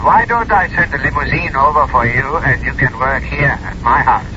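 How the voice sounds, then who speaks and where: A man's voice: medium pitch, moderate pace, lightly acted. An actor in a 1970s radio drama playing a courteous, persuasive man on the telephone, inviting and polite. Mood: coaxing. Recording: muffled, noisy old radio recording.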